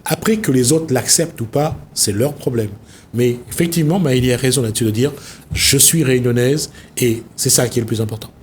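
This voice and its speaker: Male TV host, mid-pitched, meandering, thinks aloud, light studio hum. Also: brisk, darkish tone. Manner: meandering, searching for words. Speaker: TV show host. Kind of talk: reflective studio talk.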